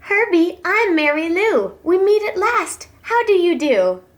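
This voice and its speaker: Casting: woman plays adoring girl slug poet for a storybook, dreamy, high and very melodic, unhurried rhymes. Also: sing-song, full character voice. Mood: delighted. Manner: dreamy, singsong rhyming verse.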